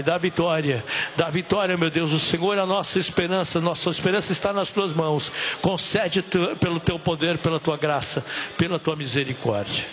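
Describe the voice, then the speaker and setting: A hoarse, high-pitched pastor preaching in a church hall. He repeats his phrases with fervor, calling out to the congregation and rising to shouts at the peaks. His worn voice strains but keeps pushing through. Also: a little hiss or hum. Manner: fervent, repetitive call-and-response, shouting at peaks. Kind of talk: church service preaching and prayer.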